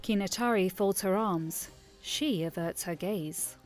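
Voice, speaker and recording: A woman's voice: mid-range voice, measured pace, faint music behind. A woman narrating a scripted fantasy audio drama.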